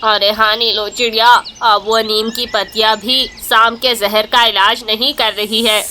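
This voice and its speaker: Loyal, fretful crow for kids' cartoon, highish and very bright; female performer. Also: flat delivery, a little slow, a little hiss or hum. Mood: worried. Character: anxious but loyal male crow.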